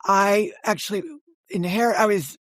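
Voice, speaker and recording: A woman's voice: mid-range voice, steady tone, moderate pace, no room sound. An older woman talking as an interview guest, telling stories conversationally and correcting herself as she explains. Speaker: guest, author and editor.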